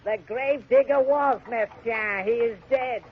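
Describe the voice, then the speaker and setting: Pompous superior scribe, very high voice, haughty declaiming, dull old radio sound with faint hiss. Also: male voice, a little slow. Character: pompous, self-important Parisian male gravedigger and scribe. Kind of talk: golden age radio drama acting. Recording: muffled, noisy old radio broadcast.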